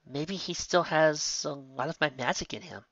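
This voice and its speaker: A man's voice: fairly high pitch, moderate pace, a bit bright, no room sound, muffled recording, voice pushed up. A man voicing a scholarly young unicorn mare in a story, explaining and reading aloud in a careful, earnest way.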